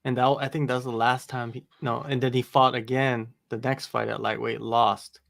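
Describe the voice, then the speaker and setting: A man talks about fighters in a relaxed, rambling way, packing his speech with "you know" and "like." His voice has a gravelly edge. The sound is dry and close to the mic. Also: medium pitch, moderate pace, dry recording. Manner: laid-back, rambling with many fillers. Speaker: MMA interviewer and podcast co-host. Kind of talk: casual chat on a livestream.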